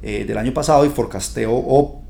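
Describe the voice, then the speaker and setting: Male podcast host, gravelly and warm in tone, chatty and upbeat, speaks straight to listeners. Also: medium pitch, fairly quick, slight background noise. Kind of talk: casual podcast monologue.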